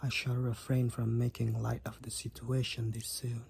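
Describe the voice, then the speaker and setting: A man voicing a devoted, overprotective vampire boyfriend in an intimate audio roleplay, with courtly, archaic, tender phrasing and a breathy, husky voice. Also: medium pitch, monotone, a little mellow, a little hiss or hum, natural voice. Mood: tender, concerned. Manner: archaic, formal, tender, slow and deliberate wording.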